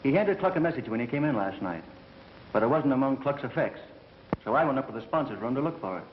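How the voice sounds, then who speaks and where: An actor with an American accent playing a brisk, candid undercover federal man in a 1930s film. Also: mid-range voice, expressive, lightly acted. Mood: candid, explanatory. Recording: muffled, echoey, hissy 1930s film soundtrack.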